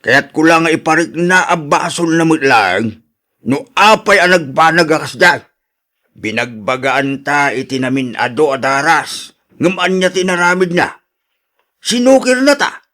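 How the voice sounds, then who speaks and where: A man's voice: on the high side, animated, a little slow, bright, forceful tone. A man with a Filipino accent playing a stern, hot-tempered father who scolds emphatically in a radio drama. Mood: angry, scolding. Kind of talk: Ilocano radio drama acting.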